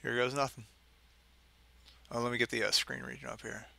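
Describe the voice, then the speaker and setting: A man's voice: medium pitch, little pitch movement, brisk, vocal fry, bright, forceful tone. A man hosting a gaming stream, mixing casual commentary and mock dramatic reading with sarcastic, self-deprecating asides.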